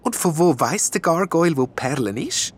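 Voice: mid-range voice, sing-song, normal speed, breathy edge, pitched above the performer's own voice. A storyteller voicing a young, brave but puzzled princess asking earnest questions.

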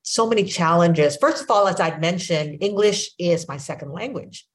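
A woman in her sixties with a Filipino accent, whose first language is Filipino, hosting a podcast and telling her story in a reflective, unhurried way. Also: lowish voice, expressive, slight background noise. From Philippines. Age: 60s. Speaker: podcast host. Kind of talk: casual conversation.

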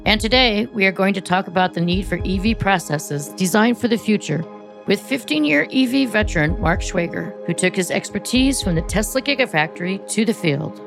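A woman hosting a podcast, reading a scripted introduction in a measured, announcer-like way and interviewing a guest. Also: medium pitch, expressive, vocal fry, faint music behind, rough recording. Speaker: podcast host.

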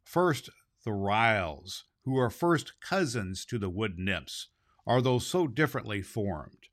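Guy reading a kids story out loud, expressive storyteller, trips on words a bit. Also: on the low side, takes it slowly. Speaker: storybook reader and podcast host. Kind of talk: storybook narration read aloud.

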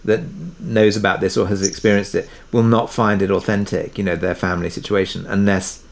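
Male interview guest, fairly low pitch, reflective and hesitant, explaining in a conversational way. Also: level tone, fairly quick, creaky. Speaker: interview guest, audio drama producer.

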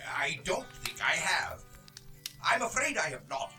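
A man's voice: a little high, very animated, measured pace, pushed and bright, soft background music, full character voice. A man playing a frightened old miser humbled by spirits, in formal, pleading theatrical radio acting. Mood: humbled, timid.

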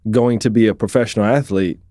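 A British man in his thirties, raised in the UK, telling his life story as an interview guest in a relaxed, reflective way. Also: lowish voice, fast talker, dry recording, clean studio sound. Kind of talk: conversational interview.

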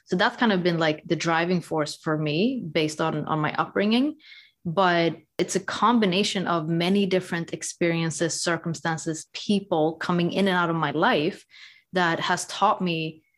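A woman from Sweden, a podcast guest, telling her own story in a reflective, measured way. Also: on the low side. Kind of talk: conversational interview.

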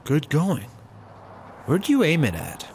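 A man's voice: a little high, huge pitch swings, slow, darkish tone, slight background noise, exaggerated voice. A man playing a weary, incredulous squad-car partner, the dry straight man of a comic audio drama.

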